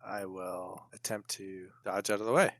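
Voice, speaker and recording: A man's voice: low voice, fairly even intonation, moderate pace, fairly bright tone. A man talking casually as a player in a tabletop game, hesitantly thinking aloud.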